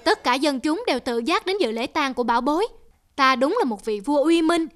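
A woman's voice: high-pitched, expressive, a little fast, fairly bright tone. A woman voicing a vain, tyrannical old king in a cartoon folktale, imperious and pompous. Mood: self-satisfied.

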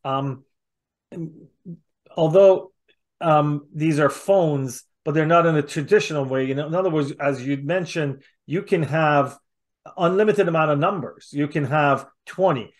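A man's voice: on the high side, unhurried. A man interviewing conversationally over a video call, clarifying and asking questions.

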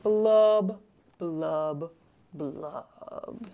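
A woman's voice: on the low side, drawn-out words, gravelly, mellow, highs cut off, heavily acted. A woman voicing a glum, gloomy male fish in a children's storybook reading, chanting in a singsong rhyme.

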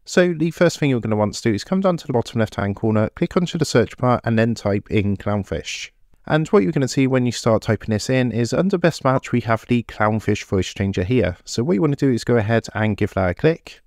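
A man presenting a software tutorial, walking through each step and often repeating "what you wanna do", talking into a desktop microphone. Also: medium pitch, animated, fairly quick, no room sound, clean studio sound. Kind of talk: screen-recorded software tutorial. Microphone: desktop USB microphone.

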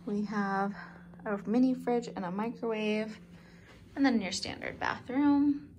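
A woman's voice: on the high side, unhurried, a little mellow, lo-fi sound. A woman in her thirties chatting cheerfully as she films her road trip on a phone held at arm's length, describing the sights. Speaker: travel vlogger. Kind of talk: casual travel vlog narration. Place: in a car, a hotel room and outdoors in snow. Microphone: phone camera held at arm's length. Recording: road and wind noise behind a phone recording. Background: car and outdoor ambience.

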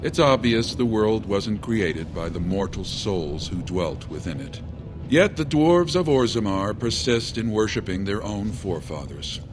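A man voicing an earnest, pious dwarf missionary in a video game, speaking in a gently persuasive way. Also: lowish voice, animated, measured pace, noisy background. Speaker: preacher. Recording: game audio with music underneath.

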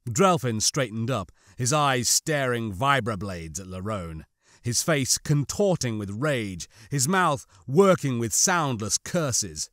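A man's voice: medium pitch, fairly bright tone, no echo. Male audiobook narrator, middle register, suspenseful and dramatic, recorded dry.

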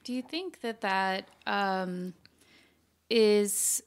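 A woman's voice: mid-range voice, very slow, clean tone. A woman co-hosting a podcast interview, asking thoughtful questions in a casual way. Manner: thoughtful questioner, many backchannels. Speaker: podcast co-host.

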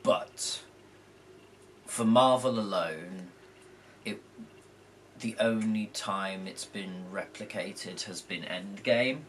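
Young male co-host, deep and croaky, unhurried, rambling, faint background noise. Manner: rambling, self-correcting. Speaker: co-host vlogger. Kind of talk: casual film review chat. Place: bedroom at home.

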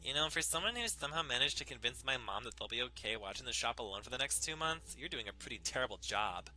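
A man's voice: medium pitch, expressive, fast talker, sharp tone, pitched above the performer's own voice, rough recording. A man voicing an irritated, sarcastic young woman who works as a baker in a visual novel. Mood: annoyed. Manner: exasperated, sarcastic.

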